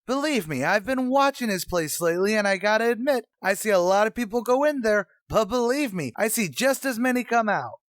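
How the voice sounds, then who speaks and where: A man's voice: high voice, lively intonation, brisk, few pauses, no room sound, studio quality. A man playing a slangy, blustery, scheming New tavern manager in an old-time radio comedy, mangling his grammar as he wisecracks. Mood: breezy, defensive.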